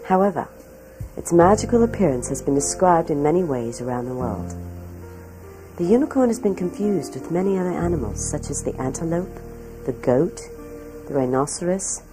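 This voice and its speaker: A woman narrating a documentary from a script in a measured way, often posing questions. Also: deep voice, expressive, music in the background.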